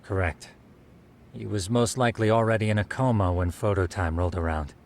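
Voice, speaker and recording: A man's voice: on the low side, a little fast, a little hiss or hum, natural voice. Formal, bookish male researcher played by an actor. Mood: analytical. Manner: formal, exact, explanatory. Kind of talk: English video game dub cutscene acting.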